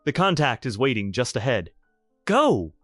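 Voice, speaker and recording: A man's voice: medium pitch, sing-song, normal speed, a bit bright, no room sound, studio quality, soft background music, pitched below the performer's own voice. A man narrating a romantic drama plays a stoic, self-sacrificing elite soldier in love, terse, commanding and emotional. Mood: urgent, commanding.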